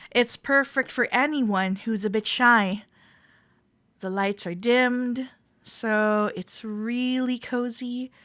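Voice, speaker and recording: Actress as friendly cuddle club guide: female, slightly high voice, gently teasing, reassuring, close dry roleplay audio. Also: no echo. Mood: teasing, cozy. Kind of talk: roleplay audio, addressed to the listener.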